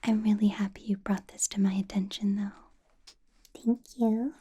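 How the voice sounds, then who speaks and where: A woman voicing a possessive ancient female vampire boss in a whispered ASMR roleplay, soft and doting with a quiet menace, close to the microphone. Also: mid-range voice, steady tone, takes it slowly, breathy edge. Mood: sweetly menacing.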